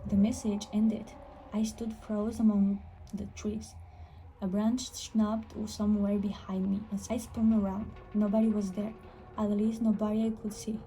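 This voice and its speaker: A woman's voice: mid-range voice, flat delivery, measured pace, mellow, slight background noise, poor audio. A woman reading a scripted first-person horror story as a storyteller.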